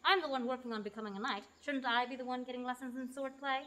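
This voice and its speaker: A woman playing a brisk, dry, self-assured aspiring knight in a radio play. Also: high voice, steady tone, noisy background, close to an everyday voice.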